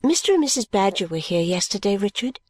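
A woman voicing a sensible, caring young woman in an audiobook, earnest and gently reasoning. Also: medium pitch, big pitch swings, fast, slightly rough, dry recording. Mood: gently probing. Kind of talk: audiobook character dialogue.

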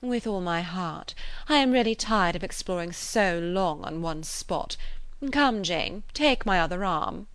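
A woman's voice: lowish voice, expressive, unhurried, a bit bright, clear, resonant tone, no echo. A woman reading a classic novel, voicing a pompous, self-satisfied married lady with an emphatic manner. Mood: brisk. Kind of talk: classic novel character dialogue.

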